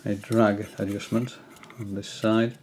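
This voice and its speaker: An older man at home giving a hesitant, casual tutorial, often pausing with fillers as he shows his reels. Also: lowish voice, level tone, a little mellow, a little hiss or hum. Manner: hesitant, many fillers and restarts. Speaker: angling hobbyist giving a tutorial. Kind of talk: casual explanatory demonstration. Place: indoors, small room at home.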